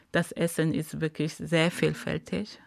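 Gravelly-voiced woman from Cameroon. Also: low-pitched, no room sound, studio quality. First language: Bamileke. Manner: animated, searching for words, frequent laughs. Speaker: interview guest. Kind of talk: casual interview conversation.

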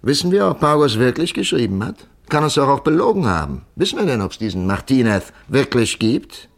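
An actor playing a shrewd, methodical police commissioner in a 1950s German radio crime drama, musing aloud with deliberate, patient superiority. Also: male voice, mid-range voice, sing-song, creaky. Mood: patient, explaining.

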